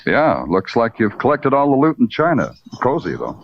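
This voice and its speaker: An actor playing a hard-boiled American police detective, sardonic and terse, in 1950s radio crime drama style. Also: male voice, medium pitch, wildly swooping pitch, moderate pace, slight background noise. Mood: sardonic, guarded. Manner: sardonic, terse, florid noir phrasing. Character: wry, hard-boiled big-city police detective narrating his case. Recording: muffled old radio recording with music.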